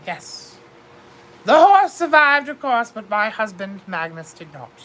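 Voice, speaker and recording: A woman's voice: fairly high pitch, sing-song, unhurried, a bit bright. A woman telling a comic story in a theatrical, grandly confiding way, calling her listeners darlings.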